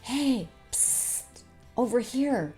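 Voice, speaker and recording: A woman's voice: a little high, animated, very slow, dark tone, soft background music, voice pushed up. A woman voicing a curious, helpful monkey who coaxes and instructs in a children's storybook. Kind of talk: children's storybook read-aloud.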